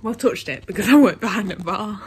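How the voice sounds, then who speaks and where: A woman's voice: medium pitch, quick pace, breathy edge. An eighteen-year-old woman, chatting casually and giggling easily as she vlogs. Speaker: vlogger. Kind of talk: casual vlog chat. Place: holiday lodge rooms and outdoor hot tub. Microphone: phone at short distance. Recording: noisy handheld home video.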